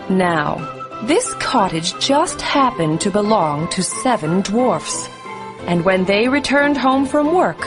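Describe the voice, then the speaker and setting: A woman narrating a scripted bedtime story for children as a storyteller. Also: on the low side. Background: music.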